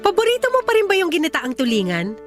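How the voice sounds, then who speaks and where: A woman's voice: very high voice, huge pitch swings, quick pace, over music, full character voice. An actress playing a clingy, desperate mother pleading with her ex in a Filipino radio drama, with an insistent, emotional delivery and a Filipino accent. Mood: tentative, coaxing.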